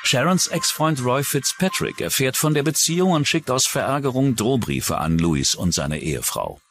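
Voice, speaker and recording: A man's voice: mid-range voice, lively intonation, moderate pace, a bit bright, faint music behind. A man reading scripted true-crime documentary narration.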